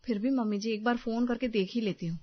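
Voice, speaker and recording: A woman voicing an anxious, fussing, caring young daughter-in-law in an animated family story, fretful and insistent. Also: on the high side, quick pace, lo-fi sound, lightly acted. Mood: worried.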